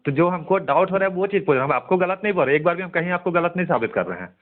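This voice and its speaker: A man's voice: sits high, expressive, rapid-fire. A man from India questioning someone over the telephone as a journalist, hesitant, polite but openly doubting. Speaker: journalist questioning a suspected scammer. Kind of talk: questioning phone conversation. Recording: tinny narrowband phone call recording.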